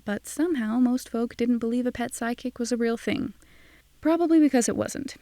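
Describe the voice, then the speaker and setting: Wry, mellow, gravelly woman narrator. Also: on the high side, moderate pace, dry recording. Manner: wry, sardonic. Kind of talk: scripted first-person audiobook drama narration.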